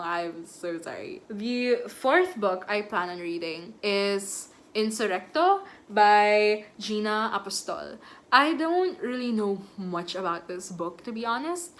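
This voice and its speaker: A woman's voice: mid-range voice, a bit bright. Chatty young woman book vlogger. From Philippines. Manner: chatty, self-deprecating, rambling. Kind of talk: casual chat.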